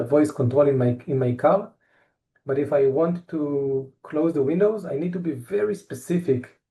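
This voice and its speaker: A middle-aged man with an Israeli accent giving a technical presentation, halting often with fillers and restarts. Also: mid-range voice, creaky, dark tone, low-quality recording. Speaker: tech company presenter.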